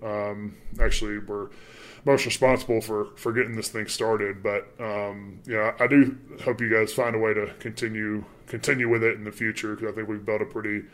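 A man's voice: on the low side, little pitch movement, normal speed, a bit bright. A young man with a mild Southern accent, chatting loosely on a podcast with many fillers and restarts. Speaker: departing sports reporter. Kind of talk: casual podcast chat.

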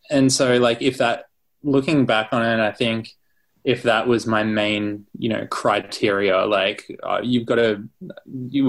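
A young Australian man, a musician being interviewed, chatting casually and rambling with lots of fillers and restarts. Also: lowish voice. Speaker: musician guest.

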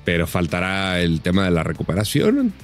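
A Mexican man on a sports podcast, giving measured, analytical football commentary with frequent "eh" fillers. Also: sits low, huge pitch swings, soft background music. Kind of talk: casual football analysis chat.

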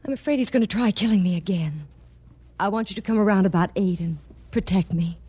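A woman playing a noir femme fatale with a mid-pitched voice. She is dramatic and coy, a wife in some trouble, in the manner of classic radio drama on a vintage recording. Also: brisk, mellow, slightly rough, lightly acted. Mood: anxious, insistent. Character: frightened yet flirtatious married woman, femme fatale client. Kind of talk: 1940s-50s radio drama acting.

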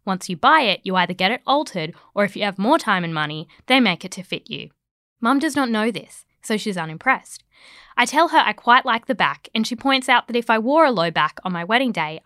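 A woman narrates a personal essay in a bright, forward voice. Her delivery is wry and conversational, with expressive storytelling. The recording is close and dry. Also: medium pitch, dry recording. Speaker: writer reading own article.

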